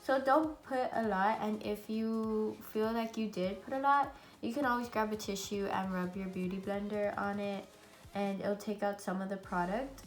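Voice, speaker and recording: A young woman with a raspy, congested voice from a cold, chatting bubbly through a makeup tutorial at home. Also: medium pitch, fairly even intonation, normal speed, soft background music. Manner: chatty and bubbly, many fillers. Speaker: beauty vlogger. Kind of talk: casual makeup tutorial chat.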